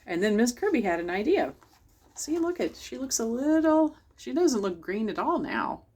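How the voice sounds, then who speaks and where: A woman's voice: a little high, sing-song, normal speed, darkish tone, breathy edge. A woman hosting a children's storytime, reading a picture book aloud and chatting directly to young listeners. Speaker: library storytime host.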